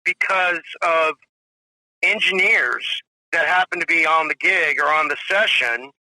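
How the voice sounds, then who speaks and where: A man's voice: on the high side, a little slow, sharp tone, dry recording, low-quality recording. A man in his forties, a drummer guest telling rambling stories in a casual interview, full of "you know" and "if you will". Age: 40s.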